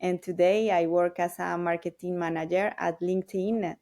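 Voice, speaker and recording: A woman's voice: fairly low pitch, steady tone, moderate pace, warm tone. A woman around forty from Colombia, a native Spanish speaker with a Colombian accent, talking conversationally as a guest. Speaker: guest, marketing professional. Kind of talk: conversational self-introduction.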